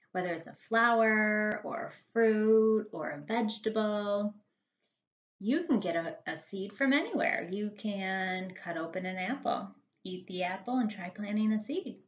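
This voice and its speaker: A woman reads a picture book aloud to small children like a first grade teacher. Her voice is bright and lively, with big swings of pitch and emphatic storytelling at a slow pace. The sound is a little dull.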